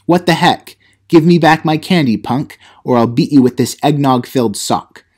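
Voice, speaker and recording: A man's voice: medium pitch, moderate pace, darkish tone, lightly acted. A man voicing a feisty, threatening young trick-or-treating boy in a comedy audio play. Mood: feisty, demanding.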